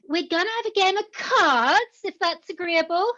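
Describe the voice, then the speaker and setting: A woman's voice: very high-pitched, bright, forceful tone, highs cut off, exaggerated voice. An actress playing a giggling, teasing young country girl.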